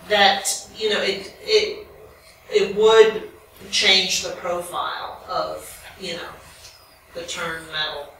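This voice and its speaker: An American woman in the audience asking a long, spontaneous question in a halting, deliberate way. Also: on the low side, a bit bright. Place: echoey lecture room. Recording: very echoey room, distant mic, faint noise.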